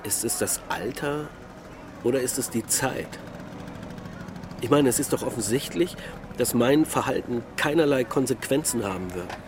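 A man's voice: mid-range voice, animated, normal speed, lightly acted. An actor playing a melancholic middle-aged husband and father in a naturalistic radio drama, musing reflectively in short sentences. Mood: brooding, resigned. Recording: loud urban traffic ambience.

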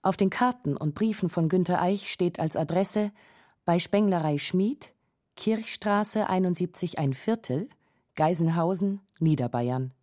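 A woman's voice: on the low side, measured pace, mellow, no echo, clean studio sound. A woman reading scripted narration for a radio documentary feature.

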